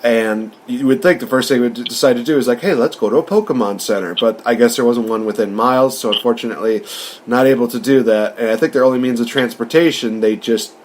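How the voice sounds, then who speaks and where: A man's voice: mid-range voice, quick pace. A man co-hosting a podcast, casually recapping a film and commenting as the story goes. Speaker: podcast co-host. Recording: faint background ambient noise.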